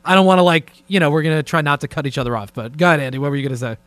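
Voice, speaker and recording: Guy with a high-ish voice hosting a loose sweary podcast, dry close sound. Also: rapid-fire, a bit bright, dry recording. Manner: informal, joking, takes charge of the talk. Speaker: podcast host. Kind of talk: casual profane talk show chat. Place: indoors, remote conference call set up with camera.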